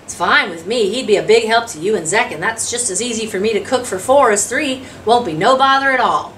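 Storybook pioneer mother, read by a woman: brisk, hospitable, country speech. Slightly distant, faint noise. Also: fairly high pitch, a bit bright, voice pushed up, natural voice. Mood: welcoming. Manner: country dialect, scolding yet welcoming. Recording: distant mic, noisy room sound.

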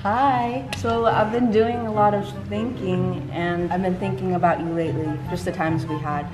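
A young woman taking part in a dare vlog, talking softly and hesitantly on a prank call. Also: fairly low pitch, steady tone, moderate pace, reverberant, a crowd in the background, low-quality recording. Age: young adult. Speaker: vlog guest. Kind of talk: casual prank phone call.

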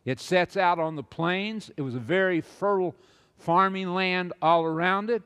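An older man lecturing on stage as a preacher to an audience, slow and rambling, with many "uh"s and repeated words. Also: sits high, fairly bright tone, smooth, long reverb tail. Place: large auditorium stage with audience.

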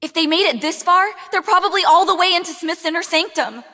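An actress playing an anguished, desperate young woman in a video game, frantic and halting in her delivery. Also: very high voice, bright, forceful tone. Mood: dread. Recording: long reverberant hall echo.

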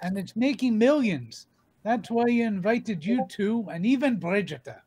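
Man doing a bossy high-voiced old duck uncle. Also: lively intonation, normal speed, darkish tone, recorded from a distance, a little hiss or hum. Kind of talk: live comic dub acting.

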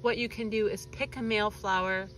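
A woman's voice: mid-range voice, steady tone, a little fast, fairly bright tone, slight background noise. A woman in her thirties with a stuffy, nasal voice, chatting casually as she hosts a gardening vlog outdoors, filmed on a phone at arm's length. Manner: chatty, friendly explainer. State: congested, nasal from allergies. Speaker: gardening channel host. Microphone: phone camera held at arm's length.

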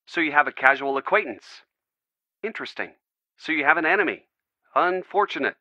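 Bright, animated wry sidekick. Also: male voice, a little high, a little fast, no echo, highs cut off. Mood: advising. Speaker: supporting character in a detective adventure game.

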